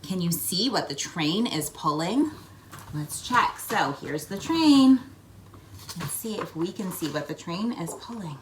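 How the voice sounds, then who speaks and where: A woman's voice: on the low side, unhurried, noisy background. A woman reading a children's picture book aloud, expressively, with coaxing questions and asides to young listeners. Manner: expressive storytelling, coaxing questions, acted-out sound words. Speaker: read-aloud host.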